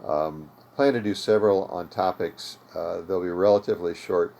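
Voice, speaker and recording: A man's voice: deep voice, moderate pace, gravelly, dark tone, a little hiss or hum. An older American man hosting an informal, unscripted hobby tutorial in a conversational, explanatory way. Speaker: host of an amateur radio tutorial series. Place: home radio room.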